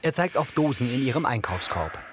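A man's voice: medium pitch, sing-song, a little fast, noisy background. A man reading scripted narration that describes what happens on screen. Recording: music under the narration.

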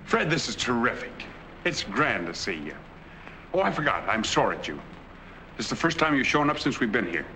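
An actor playing a middle-aged, wealthy American husband, genial with a sly menace, in 1940s film noir style. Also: male voice, mid-range voice, sing-song, normal speed, pushed and bright, a little hiss or hum. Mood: hearty. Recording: 1940s film soundtrack with background music.

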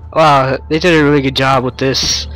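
A man's voice: mid-range voice, level tone, normal speed, a bit bright, faint music behind, poor audio. A young man commenting on a horror game through a headset mic, jumpy and jokey as he talks to an imaginary sidekick. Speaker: game streamer.

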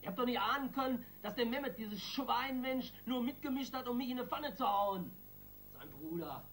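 A man's voice: very high voice, expressive, moderate pace, a bit bright. An angry young criminal in a German radio crime drama. He is desperate and slangy, protesting in an agitated way, over a faint background hum. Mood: desperate, pleading.

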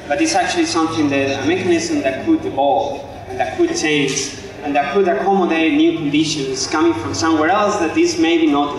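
Male guest lecturer, thirties, Spanish accent, hesitant phrasing, heard somewhat distantly through a heavily echoing stage PA. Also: a little high. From Spain. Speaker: guest lecturer, architect and educator. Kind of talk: informal public lecture. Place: open-sided stage before an audience. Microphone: handheld microphone through a PA. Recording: very echoey, distant from the microphone.

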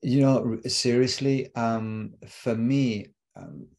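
A man's voice: medium pitch, fairly even intonation, slow, vocal fry, a little mellow. Reflective middle-aged man. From Britain. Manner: reflective, repeats words while searching for phrasing. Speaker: relationship coach guest. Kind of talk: casual interview talk. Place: home room with bookshelves. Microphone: video call.

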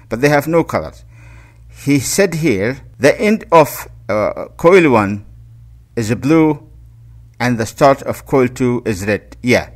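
A man presents an informal tutorial in a mid-pitched voice. He goes through the details one step at a time, halting between phrases and restating points as he goes. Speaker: electronics hobbyist and presenter.

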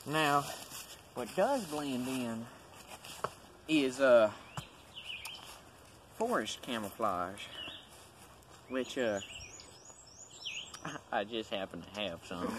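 A man's voice: mid-range voice, expressive, drawn-out words, pauses often. A young man hosting a casual, folksy instructional video, explaining things informally to the camera. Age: young adult. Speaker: host. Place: outdoors in woodland. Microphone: handheld camera a few metres away. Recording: outdoor ambient noise, camera mic.

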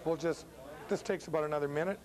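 A man's voice: on the high side, quick pace, a little mellow. A middle-aged man hosting a cooking demonstration as a chef, chatting casually in a jokey way. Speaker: cooking show host, chef. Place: restaurant bar room, indoors. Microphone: lapel.

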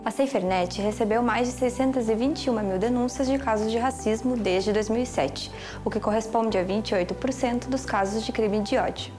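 Young Brazilian female presenter, scripted documentary read, slightly high voice, clear music bed. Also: fairly quick, few pauses.

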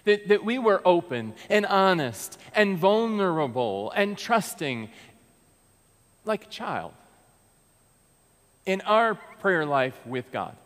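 A man's voice: high voice, sing-song, takes it slowly, pauses often, a bit bright. An American man in his thirties, preaching from a pulpit in a large church sanctuary in an earnest, conversational way with frequent restarts. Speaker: pastor. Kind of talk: sermon. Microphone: pulpit mic, far camera. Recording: long church reverb.

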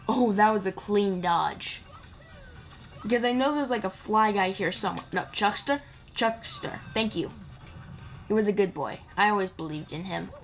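Female gaming commentator, mid-pitched, casual and playful, wandering chatter aimed at the characters, game music underneath. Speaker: gamer playing and commenting. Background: video game music.

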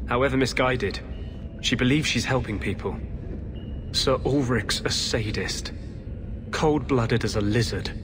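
An earnest rebel mage from a fantasy game, played by a man, intense and bitter. His voice sits at a middle pitch, with clear ambience noise behind it. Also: close to an everyday voice.

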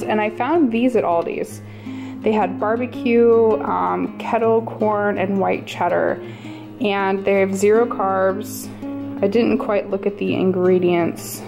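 Woman, chatty haul narration, mid pitch, guitar music behind. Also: vocal fry. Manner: chatty, listing items with quick asides. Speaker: vlogger showing a grocery haul.

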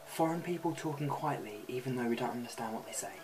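A man's voice: mid-range voice, quick pace. A young man hosting a comedic numbered list to camera in a small bedroom. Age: young adult. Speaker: video host. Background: music.

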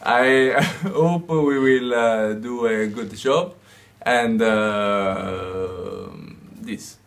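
A man in his twenties with a lowish voice speaks slowly as team leader, breaking into shy laughs. He is seated in a small office. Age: 20s. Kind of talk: casual self-introduction. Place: small office with green walls.

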